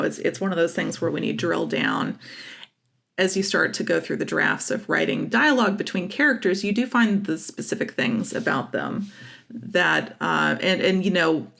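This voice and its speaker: A woman interviewed as a writer, talking casually and haltingly as she searches for words and corrects herself. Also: on the low side, vocal fry, fairly bright tone, a little hiss or hum.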